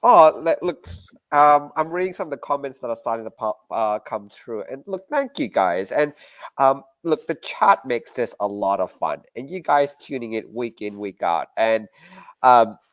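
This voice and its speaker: A man's voice: medium pitch, lively intonation, moderate pace, a bit bright, dry recording. An Australian man co-hosting a casual live-stream chat, earnest and rambling. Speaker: podcast co-host.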